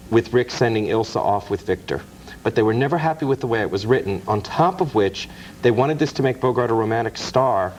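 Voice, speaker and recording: A man's voice: medium pitch, a little mellow, a little hiss or hum, low-quality recording. A man, an author and guest on a talk show, explaining film history at length in a knowledgeable way. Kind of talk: interview answers.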